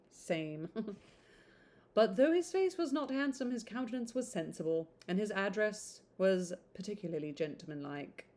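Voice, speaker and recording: A woman reading a classic novel aloud in an informal, expressive way, stumbling over some words and adding chatty asides. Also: mid-range voice, measured pace.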